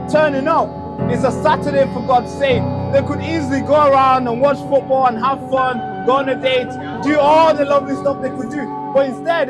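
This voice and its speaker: A man from Tanzania speaks at an outdoor rally, his voice pitched very high as he projects to the crowd. He repeats phrases for emphasis, and clear music plays behind him. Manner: emphatic and repetitive, addressing a crowd.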